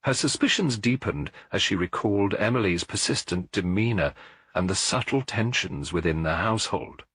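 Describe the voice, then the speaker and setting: A man's voice: on the low side, expressive, normal speed, fairly bright tone, breathy edge, dry recording. A man reading a scripted detective mystery as an audiobook narrator.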